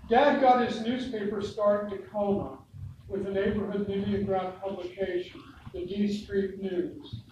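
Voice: mid-range voice, steady tone, unhurried, a little mellow, lo-fi sound. An older speaker from Oregon with an American accent, reading written reminiscences aloud at a local talk. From Lebanon, Oregon, United States. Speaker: long-time resident speaker at local history talk. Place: indoor meeting room with hard walls. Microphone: far camera across the room. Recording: distant mic, very echoey noisy room.

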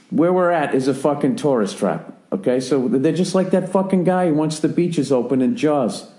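A profane, rambling American man in his forties hosts a podcast, swearing through a long story. His voice sits dark and mellow. The recording sounds roomy and echoey. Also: fairly high pitch, expressive, a little slow. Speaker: podcast host. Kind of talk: casual podcast chat.

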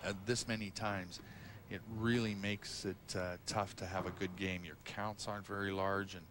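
A man's voice: low-pitched, flat delivery, moderate pace. A man giving explanatory, technical colour commentary during a live bowling match. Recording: live crowd noise behind. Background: audience and hall noise.

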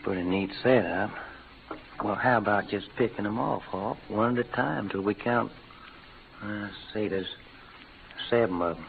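Folksy cowboy sidekick, tinny radio. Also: male voice, fairly low pitch, measured pace, creaky. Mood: cocky, amused. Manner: folksy drawling frontier slang. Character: Brazos, cocky, folksy cowboy sidekick and gunman. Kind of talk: 1940s-50s western radio acting. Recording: noisy, narrow-band old radio recording.

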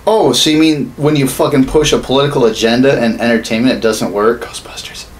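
A man's voice: medium pitch, fairly even intonation, fairly quick. A man in his thirties co-hosting a casual podcast, sarcastic and profane as he rants about games and movies. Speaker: podcast co-host. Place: small home studio room. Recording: noisy room ambience.

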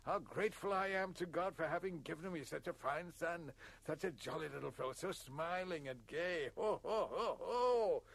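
A man's voice: fairly high pitch, unhurried, creaky, fairly bright tone, no echo, exaggerated voice. A man playing an ageing giant nobleman in a storybook reading, theatrical and declamatory, given to melodramatic exclamation. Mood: boisterous joy. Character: ageing giant nobleman, bellowing melodramatically between grief and glee.

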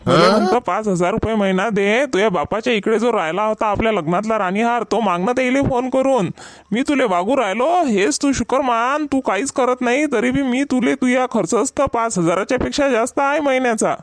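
A man's voice: extremely high pitch, a little fast, dry recording. A man voicing an exasperated village husband in a comedy cartoon, complaining sarcastically in a Vidarbha Marathi accent. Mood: sarcastic. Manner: complaining, sarcastic rustic banter. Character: exasperated, grumbling village husband with long-suffering temper.

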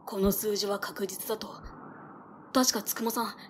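A woman's voice: mid-range voice, steady tone, moderate pace, slight background noise, natural voice. A woman acting a polite, mild-mannered young villager in an audio drama.

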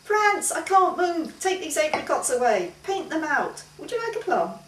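A woman's voice: sits high, big pitch swings, moderate pace, fairly bright tone, pitched above the performer's own voice. A woman voicing a vain, indignant female artist's model in a story reading, complaining and demanding. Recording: roomy, distant mic, faint hiss.

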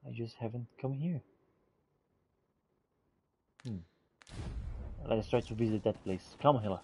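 Mellow man roleplaying through a game. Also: medium pitch, measured pace, a little hiss or hum. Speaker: gamer roleplaying and narrating a playthrough. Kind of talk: casual in-character commentary and reading game notes aloud.

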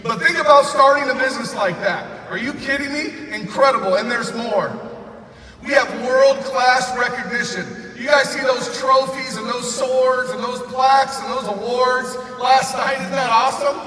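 A man in his thirties giving a loud, excited motivational speech on a big convention stage, often shouting to the crowd. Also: very high-pitched, normal speed, a little hiss or hum. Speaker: convention speaker. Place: large convention arena stage. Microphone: far recording from the audience. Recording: echoey arena recorded from far off.

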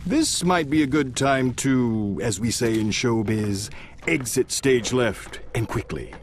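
An actor playing a wry, mocking storybook narrator who gives droll, theatrical asides in a scripted video game. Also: male voice, medium pitch, takes it slowly, slight background noise. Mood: dryly amused.

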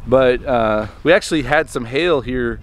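A man's voice: mid-range voice, a little slow. Man in his thirties, farm vlogger, outdoors, camera at arm's length, rambling, faint background noise. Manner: rambling, with many ums and restarts. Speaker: homestead vlogger. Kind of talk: casual talk to camera. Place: outdoors on a farmstead, by the coops. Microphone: handheld camera at arm's length.

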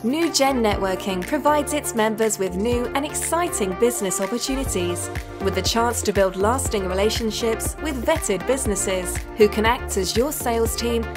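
Woman doing a slick upbeat promo read, music clearly under it. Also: a little high. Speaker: ad voice-over. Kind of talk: scripted promo narration.

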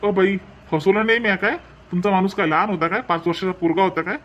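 A man's voice: high-pitched, fairly even intonation, fast, fairly bright tone, lo-fi sound. A man doing a pushy peddler with a Varhadi accent. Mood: defensive. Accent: Varhadi Marathi. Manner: haggling, stubborn. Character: pushy middle-aged male street peddler. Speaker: umbrella seller.

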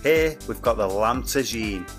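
A man reviews a restaurant in an enthusiastic, slangy vlog voice. His pitch sits in a middle range and his talk is blunt and chatty. Faint music plays behind him. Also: lively intonation, a little slow, fairly bright tone. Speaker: food reviewer. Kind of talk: food vlog voice-over and on-camera chat. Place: restaurant interior.

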